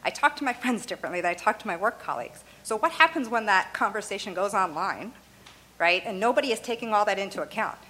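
A woman's voice: mid-range voice, lively intonation, fast, sharp tone. A woman whose first language is Arabic, explaining her views in a loose, conversational way with frequent "you know" and "right?" and light laughs. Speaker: interviewee.

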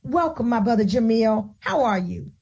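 A woman's voice: on the high side, animated, moderate pace, gravelly, dark tone, husky. A woman hosting a radio talk show, introducing her program and guest with emphatic, declamatory delivery.

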